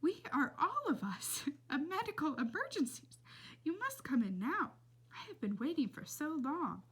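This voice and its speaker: A woman's voice: sits high, very animated, normal speed, pitched above the performer's own voice. A woman voicing an effusive, welcoming old lady in a storybook, speaking with theatrical endearments. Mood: eager, insistent. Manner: gushing, theatrical, addressing listener with endearments. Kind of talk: storybook read-aloud character voice.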